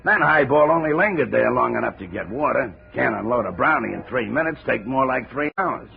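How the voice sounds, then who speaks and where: An actor playing a gruff, aging railroad detective who talks in heavy railroad slang, in a 1950s radio drama. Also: male voice, medium pitch, animated, brisk. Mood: briefing. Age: older. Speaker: railroad cop. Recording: dull old radio transcription with faint hiss.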